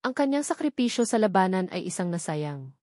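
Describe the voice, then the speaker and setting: A woman's voice: medium pitch, very animated, brisk, mellow, no room sound, clean studio sound. A woman reading a novel aloud as a scripted story narration. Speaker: audiobook narrator.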